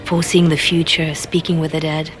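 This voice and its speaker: An actress playing a shrewd, guarded, businesslike spymaster in a fantasy video game. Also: female voice, low voice, flat delivery, fairly quick, noisy background, lightly acted. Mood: guarded, intent.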